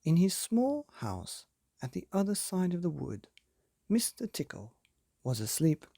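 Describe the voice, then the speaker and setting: Gravelly, husky man reading a bedtime story. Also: sits high, sing-song, mellow, no echo, clean studio sound. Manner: deliberate, dramatic pauses, addresses young listeners. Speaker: storyteller, podcast reader.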